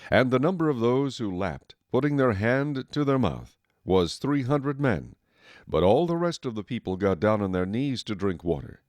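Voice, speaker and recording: A man narrating scripture from a script in a measured, formal manner. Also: mid-range voice, very animated, darkish tone, dry recording.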